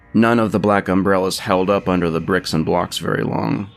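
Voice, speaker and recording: A man reading a scripted comic storybook tale as narrator, with dry humour in an expressive delivery. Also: on the low side. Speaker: narrator, writer and producer.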